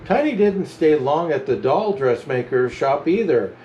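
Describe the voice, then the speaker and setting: A man reading a children's storybook aloud as a Santa storyteller, with animated, chuckling narration. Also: on the high side, a little slow, dull audio, slight background noise. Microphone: camera mic.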